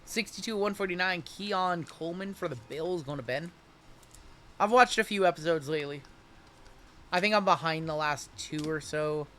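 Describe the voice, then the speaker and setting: A man's voice: on the high side, normal speed, bright, forceful tone. A man in his late thirties hosting a live card break, chatting casually with viewers while rattling off player names from each pack. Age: 30s.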